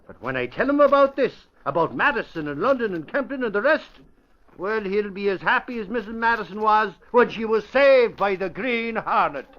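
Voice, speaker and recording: An actor playing a talkative, warm-hearted Irish newspaper reporter with folksy, emphatic phrasing, in old-time radio drama style. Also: male voice, high voice, lively intonation, normal speed. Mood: musing, pleased. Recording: dull old radio recording.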